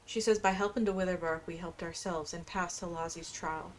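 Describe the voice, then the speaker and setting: A woman's voice: lowish voice, fairly even intonation, fairly quick, a little hiss or hum, low-quality recording. A woman doing casual gameplay commentary, chatty and musing as she plays and reads quest text aloud. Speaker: gameplay streamer. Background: faint game music.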